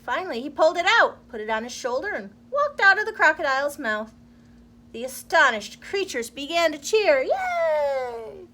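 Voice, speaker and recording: A woman reading a children's storybook aloud in an expressive, deliberate storyteller's manner. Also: sits high.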